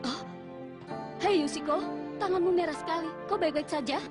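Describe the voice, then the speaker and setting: A woman's voice: very high-pitched, normal speed. A woman voicing an earnest, tender boy football player in a dubbed cartoon. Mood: wistful, earnest. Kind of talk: reflective dubbed cartoon monologue. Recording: loud music under the voice.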